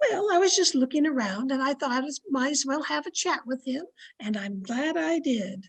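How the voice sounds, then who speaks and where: A woman playing a sly, flattering old aunt in an old-time radio comedy, coy and sweetly confiding. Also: high-pitched, normal speed. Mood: innocent, sly. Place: home, online video-call production.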